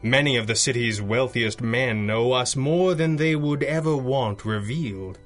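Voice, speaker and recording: A man's voice: mid-range voice, a little slow, natural voice. Male actor for resolute vigilante hero in radio drama: medium voice, formal, grave, faint music beneath. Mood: measured.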